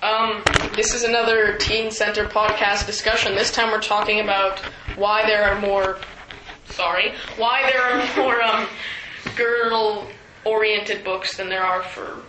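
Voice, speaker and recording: A woman's voice: mid-range voice, fairly even intonation, measured pace. Woman in a noisy, echoey room. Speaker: discussion moderator. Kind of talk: casual group discussion.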